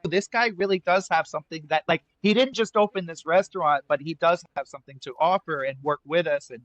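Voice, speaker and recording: An American man around fifty, a restaurant owner talking about his life in a relaxed, rambling way with lots of "like" and restarts. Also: a little high, a little fast, no room sound, muffled recording. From United States. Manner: easygoing, lots of likes and restarts. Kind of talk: casual interview conversation.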